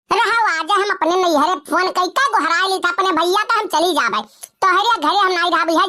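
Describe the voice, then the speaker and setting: A performer voicing a fat, sharp-tongued cartoon village woman, scolding and taunting with drawn-out wails in an Awadhi accent. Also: very high voice. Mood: indignant threatening. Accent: Awadhi, eastern Uttar Pradesh. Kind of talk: rustic comedy cartoon dialogue.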